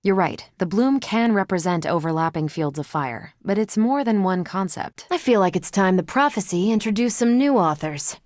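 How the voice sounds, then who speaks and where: A woman's voice: mid-range voice, expressive, moderate pace, few pauses, a little mellow, no echo, clean studio sound, lightly acted. An actress playing a confident, friendly superhero in a video game, casual and wry in her delivery. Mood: wry, conversational. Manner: casual, wry, self-assured. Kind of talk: video game character voice lines.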